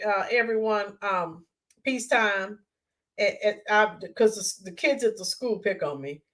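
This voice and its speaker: A woman hosting a live stream, chatting in a rambling way with viewers and greeting them by name, her voice hoarse and worn. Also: medium pitch, sharp tone. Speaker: YouTube channel host. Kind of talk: live-stream chat sign-off.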